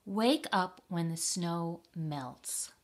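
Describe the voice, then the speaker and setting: A woman voicing a mother frog who calls and scolds her son in a storybook, with drawn-out singsong delivery. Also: fairly low pitch, lively intonation, full character voice. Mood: chiding.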